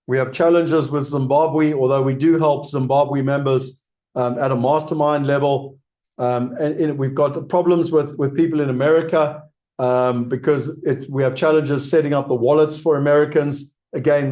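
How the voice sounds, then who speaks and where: A man's voice: a little high, dark tone. A man with a South African accent hosting a live webinar, answering audience questions in an emphatic way that repeats key points. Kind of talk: live webinar Q&A sales pitch.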